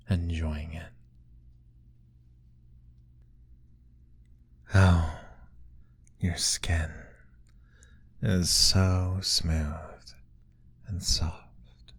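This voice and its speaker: A man doing a deep, courtly vampire lord. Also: heavily acted. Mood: tender, hushed. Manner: formal, old-fashioned, gently pleading; soft, intimate, often whispered. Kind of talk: ASMR roleplay audio.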